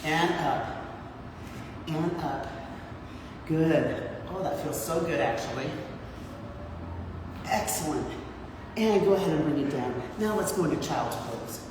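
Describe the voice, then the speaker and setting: Low husky woman in her sixties coaching a workout, counts reps, echoey gym, mic far off, background noise. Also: expressive, a little slow, pauses often. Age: 60s. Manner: encouraging, counts reps aloud. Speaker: fitness instructor. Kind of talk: guiding a workout class. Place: large gym.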